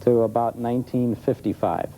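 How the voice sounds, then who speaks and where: A man chats as a studio interview guest, telling stories enthusiastically. His tone is very mellow and warm at an average pitch, with faint noise on an old TV tape. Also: little pitch movement, moderate pace, low-quality recording. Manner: enthusiastic storytelling. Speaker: guest expert on old-time radio. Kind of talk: conversational interview. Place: television studio.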